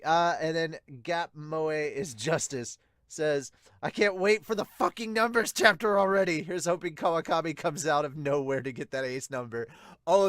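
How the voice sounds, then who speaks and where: Man, mid-pitched voice, podcast host, excitable, casual reaction commentary, reading aloud, dry recording. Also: no echo.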